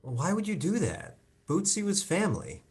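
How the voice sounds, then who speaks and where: Man acting an earnest, exasperated son, animated. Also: high voice, moderate pace, slightly rough, heavily acted. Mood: coaxing. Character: concerned, exasperated grown son confronting his mother. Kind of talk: live play reading over video call. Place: indoors, on a video call.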